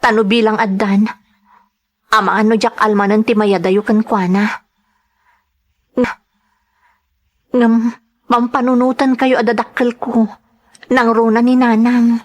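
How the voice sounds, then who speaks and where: A woman's voice: a little high, fairly even intonation, a little slow, pauses often, close to an everyday voice. A woman with an Ilocano accent playing an earnest, hurt young wife in an audio drama. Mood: tender, worried.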